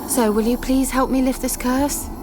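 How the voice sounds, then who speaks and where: An actress playing a haughty, sly sorceress in a video game, speaking in articulate, formal, dryly condescending phrases. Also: female voice, sits high, fairly even intonation, normal speed, lightly acted. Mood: persuasive, composed. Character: haughty, sly young sorceress posing as village witch. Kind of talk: dramatic video game dialogue. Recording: game music under the voice.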